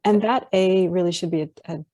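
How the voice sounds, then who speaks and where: A woman with a West Coast US accent teaching phonetics on a video call, gently correcting details and encouraging students. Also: lowish voice, fairly quick, dry recording. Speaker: phonetics instructor. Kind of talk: online class discussion.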